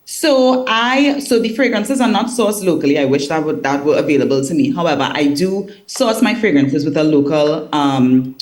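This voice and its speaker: A woman's voice: sits low, moderate pace, hardly any pauses, a little mellow. Articulate businesswoman guest on a call. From Trinidad and Tobago. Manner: articulate, laughs easily. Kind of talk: remote interview.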